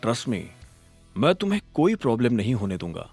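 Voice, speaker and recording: Man acting a smug, quiet-spoken flirt. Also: medium pitch, very animated, moderate pace, no echo, faint music behind, pitched below the performer's own voice. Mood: smug, flirty. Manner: smooth, oily, patronising, mixes in English phrases.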